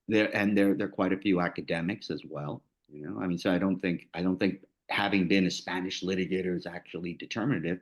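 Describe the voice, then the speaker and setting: A man's voice: on the low side, muffled recording. A man with a New Jersey accent speaking casually on an online panel as a lawyer, with lots of fillers and restarts.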